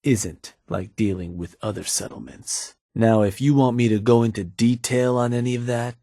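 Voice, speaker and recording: An actor playing a calm, seasoned male raider advisor who talks in an easygoing, folksy drawl. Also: mid-range voice, normal speed, dry recording, lightly acted. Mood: steady. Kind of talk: game voice acting. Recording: dry studio recording.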